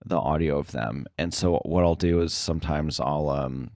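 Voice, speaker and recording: A man talking casually as an interview guest, halting often and correcting himself as he goes. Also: rumbling low, a little mellow, no room sound, clean studio sound. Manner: halting, filler-heavy, self-correcting. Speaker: podcast guest, sound editor.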